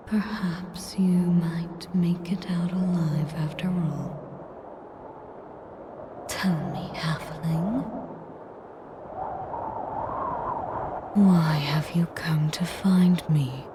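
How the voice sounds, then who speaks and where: A woman playing an ancient, sadistic female air dragon in a dramatic audio roleplay, breathy and whispery, speaking deliberately with haughty, menacing condescension. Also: on the low side, noisy background. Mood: intrigued. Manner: slow, deliberate, condescending, taunting. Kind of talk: dramatic scripted roleplay monologue.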